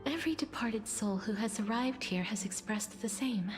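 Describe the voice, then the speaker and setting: An actor playing a serene, sorrowful demigoddess of death in a video game, speaking formally and gently with a soft, breathy delivery. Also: female voice, mid-range voice, lightly acted. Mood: serene, solemn. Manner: formal, measured, solemn. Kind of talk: dramatic scripted game dialogue. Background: background music.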